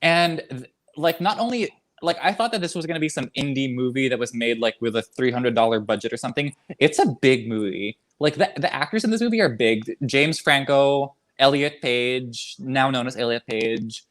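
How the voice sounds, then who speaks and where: A man's voice: mid-range voice, normal speed. A man co-hosting a book club chat, talking eagerly with many fillers. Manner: animated, lots of fillers, jumps in eagerly. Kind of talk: casual chat.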